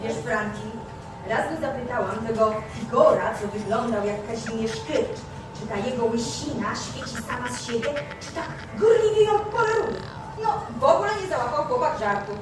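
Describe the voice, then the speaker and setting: A woman's voice: a little high, animated, moderate pace, background noise, close to an everyday voice. A woman playing a haughty, mocking vampire countess in a live comic horror play, drawling with theatrical sarcasm. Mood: sardonic. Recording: echoey stage hall, distant, music behind.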